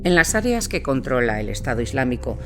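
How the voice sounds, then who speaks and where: A man's voice: mid-range voice, sing-song, fairly quick, faint music behind. A man reading scripted documentary narration as the narrator of a war account.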